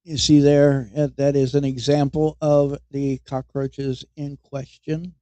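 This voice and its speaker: Male podcast host, slow and wry, mellow tone, dry close mic. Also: medium pitch, level tone, smooth, dry recording. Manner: wry and mocking, chuckles at his own jokes; halting, repeats words as he goes. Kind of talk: comedic news commentary.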